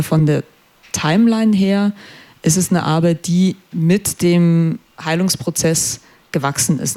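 Young guy on the radio, kinda high voice with some fry, thinks out loud, lots of ähm. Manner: thoughtful, searching for words, many fillers. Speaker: performing artist guest. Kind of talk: reflective radio interview answers. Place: radio studio.

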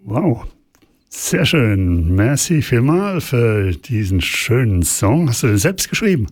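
A man's voice: medium pitch, big pitch swings, slow pace, darkish tone. A man with a Swiss German accent hosts a radio interview in a mid-range voice. He asks his guest plain, friendly questions. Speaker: radio host.

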